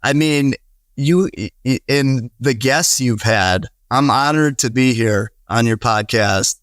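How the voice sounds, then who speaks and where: A man's voice: mid-range voice, slow, fairly bright tone, no echo, clean studio sound. A man in his thirties with a Wisconsin accent, a guest on a podcast interview, talking earnestly in a rambling way with many restarts and fillers. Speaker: podcast guest, real estate investor. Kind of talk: casual conversational interview.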